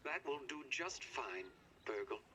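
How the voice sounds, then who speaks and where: An actor playing an impatient, condescending scientist in a game audio log, curt and commanding with dry pomposity. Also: male voice, medium pitch, big pitch swings, a little slow, crowd noise. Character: impatient, condescending male scientist, dryly sardonic.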